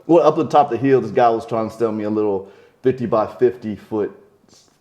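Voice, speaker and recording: A man vlogger asking casual questions in a relaxed, chatty way, filling the talk with short acknowledgments. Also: on the low side, a little fast. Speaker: vlogger interviewer. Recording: roomy echo.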